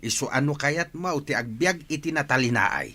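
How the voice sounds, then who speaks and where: A man's voice: fairly high pitch, lively intonation, normal speed, bright, forceful tone, full character voice. A man with an Ilocano accent playing a stern older village father and landowner in a radio drama. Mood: confrontational.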